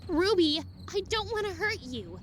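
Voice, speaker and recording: A woman's voice: very high voice, very animated, moderate pace. A woman acting an exasperated but concerned young huntress in a fan fiction audio drama. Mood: alarmed, pleading. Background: music behind the voice.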